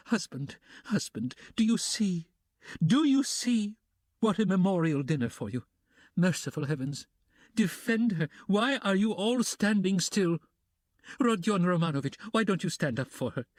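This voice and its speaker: A man voicing a desperate, consumptive widow with a hoarse, wailing voice. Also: sits high, dry recording, studio quality, voice pushed up.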